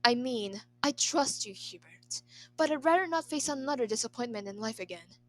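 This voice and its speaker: A woman's voice: on the high side, expressive, moderate pace, natural voice. Melodramatic weary emperor, acted by a woman. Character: reluctant reader hamming up a weary emperor.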